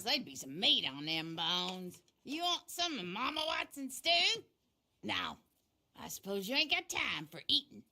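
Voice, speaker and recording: Old folksy frontier mama in a game, bossy but motherly, sharp voice, lively pitch. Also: exaggerated voice. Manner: folksy, no-nonsense. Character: brisk, motherly old frontier woman gang fixer. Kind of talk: video game character acting.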